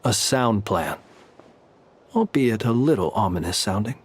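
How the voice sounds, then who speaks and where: An actor voicing a calm, teasing male lighthouse keeper in a game, speaking with dry humor and an unhurried manner. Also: fairly low pitch, slight background noise. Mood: wry. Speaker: game character.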